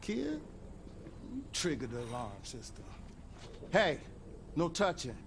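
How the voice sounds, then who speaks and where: A weary, protective garage boss gives gruff cautions in a video game. He speaks slowly in a gravelly voice, with clear background noise around him. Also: on the high side, huge pitch swings, full character voice. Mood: stern, irritated.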